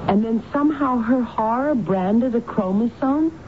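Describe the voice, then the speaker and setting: Actress playing troubled married woman, radio drama, hesitant, unhurried and drawn-out, muffled sound, strong background noise. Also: a little high, natural voice. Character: troubled, weary married woman, psychiatric patient. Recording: dull, noisy old radio broadcast.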